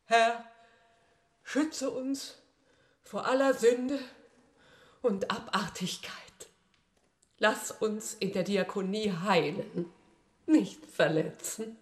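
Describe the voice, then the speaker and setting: An actress playing a strict, devout deaconess with a solemn, reproachful manner in a radio drama. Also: female voice, mid-range voice, takes it slowly, pauses often, close to an everyday voice. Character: strict, devout deaconess leading prayers, scolding, sanctimonious. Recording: echoey, reverberant room.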